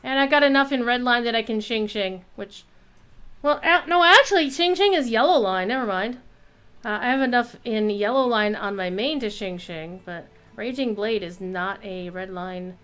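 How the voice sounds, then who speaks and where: A woman giving casual live commentary while streaming a game, playful and expressive, with some salty language. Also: a little high, moderate pace, clear, resonant tone.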